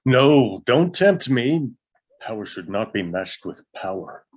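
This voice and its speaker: Grave, low-voiced old wizard played by a man. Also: very animated, slow, husky, dull audio, exaggerated voice. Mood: grave, ominous. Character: grave old male wizard, commanding and brooding. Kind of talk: table read of a fantasy film script.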